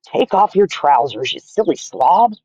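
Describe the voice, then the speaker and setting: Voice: medium pitch, expressive, moderate pace, creaky, no echo, muffled recording, pitched above the performer's own voice. A reader voicing a group of grown male cooks mocking their colleague. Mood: jeering.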